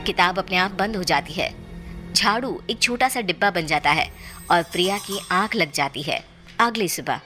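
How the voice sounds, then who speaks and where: A woman's voice: lowish voice, lively intonation, normal speed, pushed and bright. A woman narrator reading a scripted horror story in a storytelling style. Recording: clear music bed under the voice. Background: background music.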